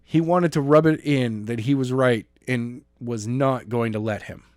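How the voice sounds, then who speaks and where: Male amateur podcast voice, mid pitch, dry close recording, informal and unpolished. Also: measured pace, no echo. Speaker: podcast co-host and amateur narrator. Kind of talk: casual banter and novel read-aloud.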